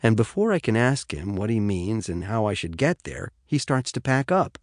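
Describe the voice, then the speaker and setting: Animated American male audiobook narrator. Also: fairly low pitch, moderate pace, no room sound, studio quality. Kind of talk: scripted first-person fiction narration. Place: dry studio.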